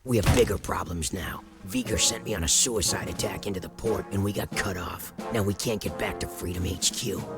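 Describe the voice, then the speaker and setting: An actor playing a gruff, dry resistance commander in a video game, terse and used to giving orders. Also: male voice, low voice, brisk, hardly any pauses, close to an everyday voice. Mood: grim. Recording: music behind the voice.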